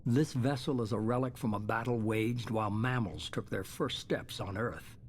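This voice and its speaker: Measured, calculating boss played by a man, noisy ambience. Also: on the low side, darkish tone, natural voice. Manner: measured, authoritative, unapologetic. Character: shadowy calculating male leader, middle-aged, coolly self-justifying. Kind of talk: dramatic video game dialogue.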